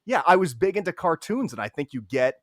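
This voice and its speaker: A middle-aged man in casual conversation, often breaking off and restarting his thoughts. His voice is on the high side and swings widely in pitch, in a dry recording. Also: sing-song, fairly quick, no echo. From United States. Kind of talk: casual chat, interviewing.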